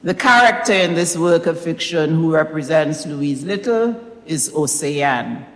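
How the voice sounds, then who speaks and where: A woman giving a prepared literary talk, speaking in measured, deliberate phrases with pauses. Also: deep voice, expressive. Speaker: author presenting own novel. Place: recital hall, indoors. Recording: echoey recital hall with long reverb.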